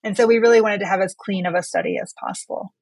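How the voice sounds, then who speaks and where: A woman's voice: medium pitch, fairly even intonation, fast talker, a little mellow, no room sound. A woman physician being interviewed as a study author, explaining research in a conversational way and posing questions she then answers.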